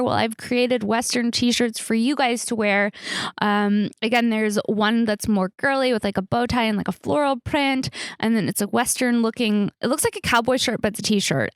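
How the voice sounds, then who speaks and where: Female comedy podcaster, 30s. Gravelly fry, slangy, self-mocking rambling delivery, very dry sound. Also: fairly high pitch, no room sound. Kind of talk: casual solo podcast chat, comedic storytelling.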